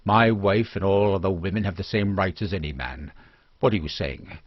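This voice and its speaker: A man's voice: low voice, normal speed, dry recording. A man voicing a proud, steadfast husband in a fantasy audiobook, grave and restrained. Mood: dignified, defiant. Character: proud, steadfast immigrant husband defending his home. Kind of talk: dramatic fantasy audiobook dialogue. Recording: dull lo-fi recording.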